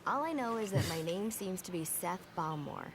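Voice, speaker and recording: An actress playing a brisk, breezy immortal former pirate woman with a bold, teasing manner in a video game. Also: fairly low pitch, lively intonation, a crowd in the background, close to an everyday voice. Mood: musing.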